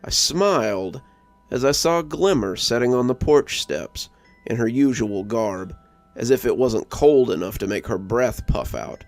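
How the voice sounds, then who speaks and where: A man narrates a scary story with a very animated delivery and a gravelly edge to his voice. The recording is dry and close, with clear music playing underneath. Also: mid-range voice, measured pace, dry recording. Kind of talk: dramatic scripted narration.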